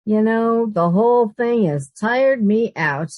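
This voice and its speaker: An actress playing a sarcastic, unimpressed roommate with deadpan wisecracks in 1940s radio sitcom style. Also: female voice, a little high, slow pace, no room sound, dull audio. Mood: dry sarcasm.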